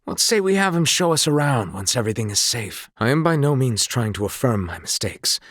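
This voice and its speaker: An actor playing a solemn, honourable former sea knight in a video game, dutiful and full of remorse, with formal, courtly phrasing. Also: male voice, mid-range voice, very animated, brisk, fairly bright tone, no echo, clean studio sound. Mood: grave, sincere. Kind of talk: dramatic scripted game dialogue.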